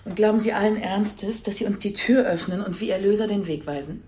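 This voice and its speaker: A woman's voice: mid-range voice, a little fast, warm tone, lightly acted. An actress playing a sceptical police officer challenging her boss in a radio crime drama.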